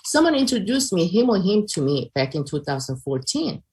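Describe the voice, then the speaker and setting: Older woman, korean accent, low voice, chatty unscripted testimony, video call. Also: sing-song, moderate pace, mellow, a little hiss or hum. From South Korea. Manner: chatty, unscripted, with many fillers. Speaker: presenter.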